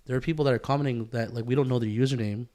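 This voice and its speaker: A man's voice: mid-range voice, steady tone, very fast, mellow. A man with an American accent chatting casually as a podcast co-host, rambling and repeating himself for emphasis. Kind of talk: casual conversational chat.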